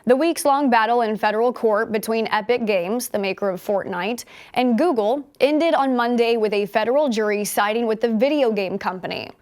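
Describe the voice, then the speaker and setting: An American woman working as a news anchor, reading scripted news in a measured, formal newsreader delivery. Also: a little high, a little mellow.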